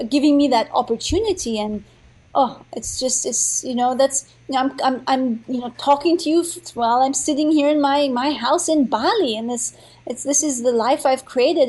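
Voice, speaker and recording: A young woman guest, born in Thailand and raised in India and Nepal, speaks in a high voice. She chats from her home in a bubbly, rambling way, full of restarts and fillers. She sounds excited about her topic throughout. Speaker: podcast guest, entrepreneur. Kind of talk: casual interview.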